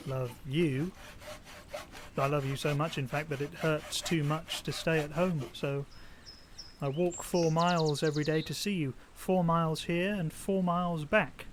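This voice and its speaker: An actor playing a poor, self-pitying, lovesick young man in an audio drama, talkative and halting, plaintive, on a noisy recording. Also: fairly high pitch, lightly acted. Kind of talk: naturalistic audio drama acting.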